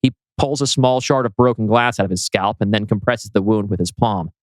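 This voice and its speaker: A man's voice: lowish voice, fairly quick, no echo. A man reading scene directions from a script as a podcast host. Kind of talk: scripted narration of a screenplay. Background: music.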